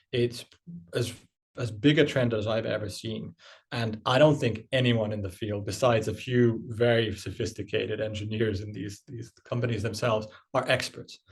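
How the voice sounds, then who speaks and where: A man's voice: fairly low pitch, vocal fry. A man from Finland who grew up largely abroad, answering interview questions in a conversational way, with frequent fillers and restarts. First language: Finnish. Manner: hesitant, many fillers and restarts. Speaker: guest, marketing executive.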